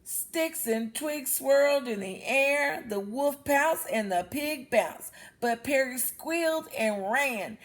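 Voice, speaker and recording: Female storyteller, slow and fairly high-voiced, expressive read-aloud for young children. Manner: explains words with questions to young listeners.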